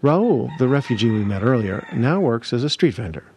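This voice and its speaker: A male radio reporter narrating a scripted news feature in a measured, lively delivery. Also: mid-range voice, slight background noise.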